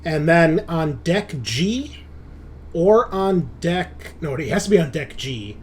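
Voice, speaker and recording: A man's voice: a little high, expressive, slow, a little mellow. Informal man running a game, slight noise. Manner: informal, sweary, joking. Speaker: game master and host. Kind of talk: casual tabletop game narration with banter. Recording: background noise, room ambience.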